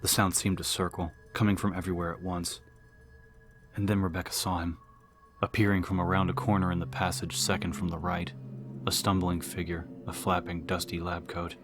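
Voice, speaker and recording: A man giving a dramatic scripted narration as an audiobook narrator. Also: deep voice.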